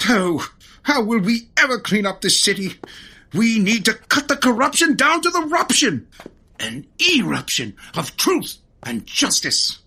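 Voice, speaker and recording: A woman's voice: fairly high pitch, big pitch swings, unhurried, sharp tone, breathy edge, full character voice. A woman voicing a pompous, righteous police commissioner in a comic audio drama, with a theatrical, oratorical delivery. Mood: grandiose.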